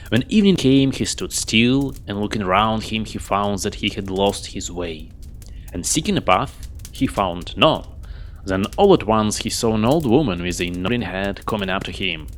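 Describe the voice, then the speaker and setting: A man reading a fairy tale aloud as a storyteller, expressively and with measured, theatrical delivery. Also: fairly low pitch. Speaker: storyteller, reader. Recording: noisy home recording.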